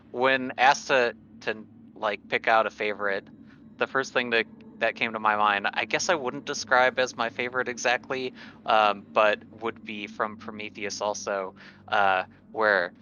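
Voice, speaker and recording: A man's voice: on the low side, steady tone, a little slow, pushed and bright. A man playing a tabletop game, chatting casually in a hesitant, wry way. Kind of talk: casual chat. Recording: dull lo-fi mic, background noise.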